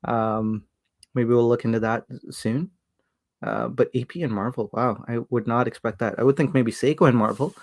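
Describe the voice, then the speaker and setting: A man from Sri Lanka hosting a casual livestream, reading the chat aloud and talking in a halting way with many fillers and restarts. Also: mid-range voice, fairly even intonation, darkish tone. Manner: hesitant, many fillers and restarts, chuckles often.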